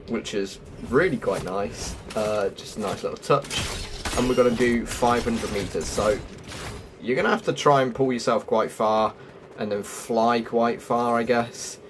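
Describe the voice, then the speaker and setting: A man's voice: mid-range voice, noisy background. A man giving casual walkthrough commentary as the host of a gaming video. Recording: music behind the voice.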